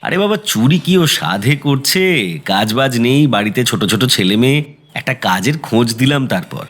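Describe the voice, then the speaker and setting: An actor playing a kindly, easygoing middle-aged neighbourhood bachelor in an audio drama, speaking colloquially with breezy earnestness. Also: male voice, medium pitch, expressive, moderate pace, fairly bright tone. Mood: matter-of-fact, kindly. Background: background music.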